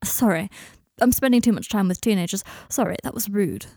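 A sardonic, self-deprecating young man played by a woman narrator in audiobook dialogue. He deflects with sarcasm and rambles into gloomy confessions, in a mellow voice recorded close and dry. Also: medium pitch, brisk, vocal fry, no echo. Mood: apologetic.

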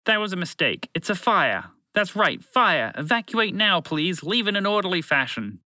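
A man's voice: high voice, sing-song, moderate pace, pushed and bright, no echo, voice pushed up, exaggerated voice. A man voicing a bumbling, flustered alien announcer who rambles and corrects himself in a children's story. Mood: rambling, insistent. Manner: hesitant, rambling, self-correcting.

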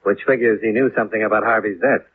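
An actor playing a tough, laconic American insurance investigator in a 1950s radio detective drama, clipped and casual. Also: male voice, fairly low pitch, fairly even intonation, fast talker, lightly acted. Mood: brisk, probing. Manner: clipped, casual, hard-boiled. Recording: tinny, noisy 1950s radio recording.

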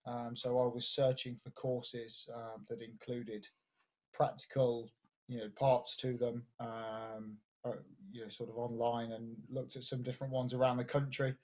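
A young man answers casually as an interview guest. His voice sits at a middle pitch and stays quite flat in melody. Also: a little slow. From Leicestershire, England. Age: 20s. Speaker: interview guest, business graduate. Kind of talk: casual chat.

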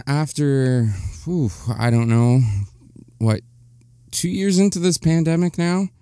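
A young man hosts his solo podcast in a loose, candid ramble, often circling back to restart his sentences. His voice is smooth and resonant, and it sits dry and close to the mic with no room around it. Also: mid-range voice, darkish tone, no room sound. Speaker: podcast host. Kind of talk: casual solo podcast monologue.

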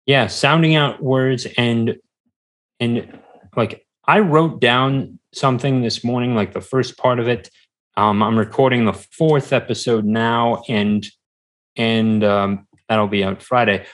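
Male podcast guest, unhurried and hesitant, mid-range voice, rambling conversational delivery. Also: mid-range voice, steady tone, a bit bright. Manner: hesitant, halting, rambling with repeats. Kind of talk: casual interview chat. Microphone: standard podcast microphone.

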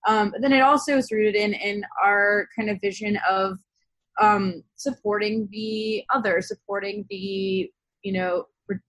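A young adult woman being interviewed, speaking casually and analytically, often halting and circling back to rephrase. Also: mid-range voice, a bit bright, no echo, rough recording. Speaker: interview guest, zine co-founder.

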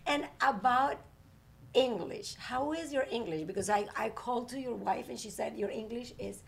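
A woman's voice: on the high side, moderate pace, vocal fry. A woman hosting a podcast, coaxing and teasing her guests in casual chat. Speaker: podcast host.

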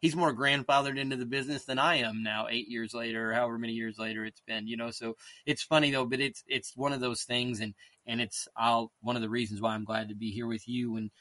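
A man's voice: medium pitch, steady tone, quick pace, hardly any pauses, pushed and bright, no room sound, low-quality recording. A middle-aged man, a guest author in a casual interview, rambling and self-deprecating as he drifts off on tangents.

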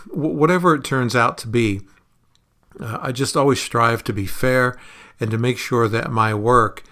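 A man talking conversationally on a podcast as a consultant being interviewed, explaining things in a measured way. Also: mid-range voice, bright, forceful tone.

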